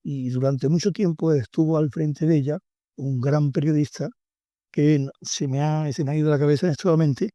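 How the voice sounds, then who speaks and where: A man's voice: on the high side, fairly even intonation, normal speed, mellow, no echo. An older man from Tangier with a Tangier Spanish accent, a guest in a radio interview who speaks reflectively with frequent hesitations. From Tangier, Morocco. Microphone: studio microphone.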